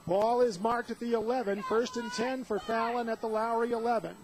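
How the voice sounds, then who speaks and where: A man's voice: very high voice, steady tone, a little fast. An American man calling live play-by-play of a football game for radio. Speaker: play-by-play commentator. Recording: noisy outdoor stadium radio broadcast.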